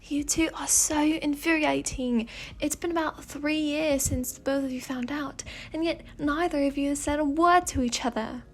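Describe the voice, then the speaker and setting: A woman's voice: sits high, steady tone, normal speed. A woman voicing a playful, pleading spirit in a mirror, with a British accent and a theatrical, whiny manner, in a story reading. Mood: exasperated.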